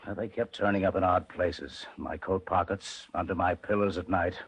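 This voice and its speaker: A middle-aged millionaire in old-time radio drama, gruff and aggrieved. The voice is fairly low and rough, close and dry. He speaks bluntly, with little patience. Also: fairly even intonation, normal speed, dry recording, poor audio. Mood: worried, defensive. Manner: blunt, impatient, forceful. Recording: vintage radio drama recording.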